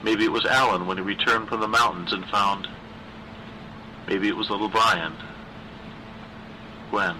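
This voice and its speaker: A man's voice: lowish voice, steady tone, unhurried, pauses often, bright, forceful tone, slight background noise, low-quality recording. A man narrating a horror story in a dramatic reading style.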